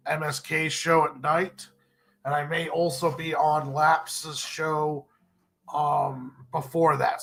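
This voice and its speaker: A man with a stuffed-up, congested nose, signing off a live drawing stream in a relaxed, rambling way and clearing his throat often. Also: on the high side. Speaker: comic artist streamer. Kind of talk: casual live-stream sign-off.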